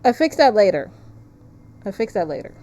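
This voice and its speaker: A woman's voice: medium pitch, big pitch swings, moderate pace, dark tone. A woman chatting casually as she hosts a livestream of a game. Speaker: game streamer. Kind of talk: casual livestream chat while playing a visual novel.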